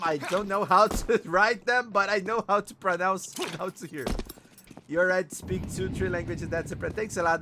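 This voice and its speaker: A man from Brazil with a Brazilian Portuguese accent, a native Portuguese speaker casting an esports match alone in English, excitable on plays and chatty in between. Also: fairly high pitch, background noise. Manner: excitable, quick bursts on plays, chatty asides and laughs. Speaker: esports caster. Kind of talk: solo game commentary and chat reading.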